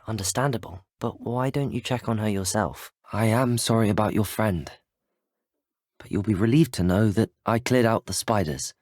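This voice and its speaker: An actor playing an earnest, determined young British student wizard, speaking articulately and politely as a video game hero. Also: male voice, on the low side, moderate pace, darkish tone, no echo, studio quality, close to an everyday voice. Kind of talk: video game character dialogue.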